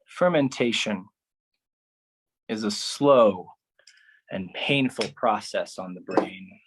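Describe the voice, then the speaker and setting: A spoken-word performer with a medium-pitched voice delivers a poetic theatrical monologue. The pace is slow and deliberate, with dramatic, heavy emphasis placed on the words. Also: breathy edge, low-quality recording.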